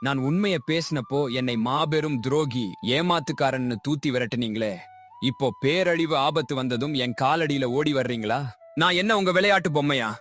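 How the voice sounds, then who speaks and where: Impassioned young village hero man acting, fairly high. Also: moderate pace, fairly bright tone, faint music behind, close to an everyday voice. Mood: indignant. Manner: earnest, impassioned, declamatory. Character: poor, honest, anguished young village hero. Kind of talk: dramatized moral story acting.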